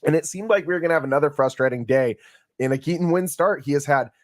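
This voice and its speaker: A hoarse man hosts a solo sports podcast, recapping a game in a loose, conversational way that often stumbles and restarts. His voice sits a bit high for a man. The recording is dry and close, with no room sound. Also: fast, dry recording. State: hoarse from yelling. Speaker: podcast host. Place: home studio.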